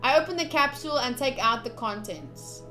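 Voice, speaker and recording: A young woman streaming a visual novel, reading the text aloud with casual, gushy, expressive commentary. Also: sits high, moderate pace. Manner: expressive, gushy, slips into cute exclamations. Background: soft game music.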